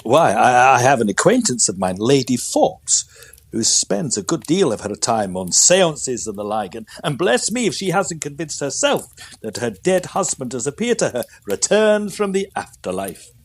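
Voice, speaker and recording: An actor playing an incredulous, pompous doctor in a period audio drama, blustering in a husky voice. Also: male voice, mid-range voice, sing-song, moderate pace. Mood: dismissive.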